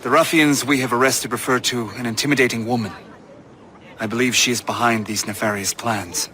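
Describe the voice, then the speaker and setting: An actor playing a stern, formal colonial military officer in a video game cutscene. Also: male voice, medium pitch, steady tone, pushed and bright, close to an everyday voice. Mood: grave, businesslike. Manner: formal, measured wording.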